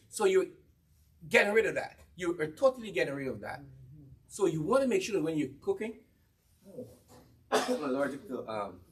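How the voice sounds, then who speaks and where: A Guyanese man with a fairly high voice pitches cookware to a live audience. He quizzes the room, repeats his key lines, and keeps the energy up. He sounds set back from the microphone. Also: a bit bright, far from the mic. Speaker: cookware presenter at a church gathering. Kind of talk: live demonstration talk to an audience.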